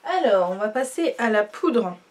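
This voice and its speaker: A young woman with a stuffy nose from a cold, chatting casually through a makeup tutorial with many fillers and trailing off quietly. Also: mid-range voice, lively intonation, unhurried. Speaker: beauty vlogger.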